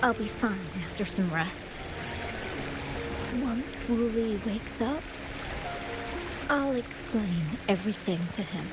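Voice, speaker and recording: A frail young village woman in a video game, gentle and resigned. Her voice is fairly high, polite and halting, with loud music behind it. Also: lively intonation, low-quality recording. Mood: weary, resigned. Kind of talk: scripted game voice acting.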